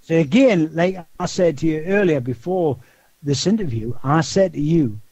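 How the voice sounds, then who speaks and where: A man's voice: fairly high pitch, lively intonation, normal speed, dark tone, husky, dry recording. Older man, Geordie accent, interview guest, repeats words, rhetorical questions, dry sound. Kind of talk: casual phone-style interview talk.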